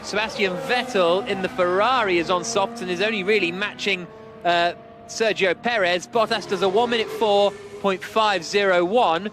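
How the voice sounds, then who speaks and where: A man's voice: sits high, moderate pace, noisy background. A man working as lead commentator, calling a motorsport session live on radio.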